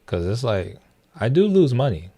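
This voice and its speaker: A man's voice: mid-range voice, big pitch swings, normal speed, dark tone, slightly rough. A young man hosting a livestream, chatting loosely with viewers, full of fillers and restarts. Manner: rambling, many fillers and restarts. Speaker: livestream host. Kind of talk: casual livestream chat with viewers.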